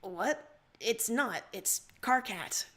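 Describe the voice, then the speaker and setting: A woman's voice: on the high side, animated, extremely slow, fairly bright tone, husky, exaggerated voice. Woman as an earnest young alien emperor. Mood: awkward.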